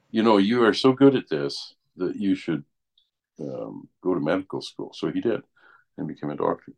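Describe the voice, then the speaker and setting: An older man, chatting casually and haltingly, often checking in with "right?". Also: low voice. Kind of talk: casual chat.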